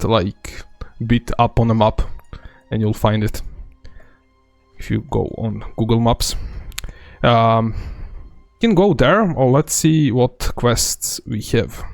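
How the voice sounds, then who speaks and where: Relaxed Serbian-accented male game streamer. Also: medium pitch, gravelly, no room sound. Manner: relaxed, rambling, many fillers. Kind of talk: live gameplay commentary.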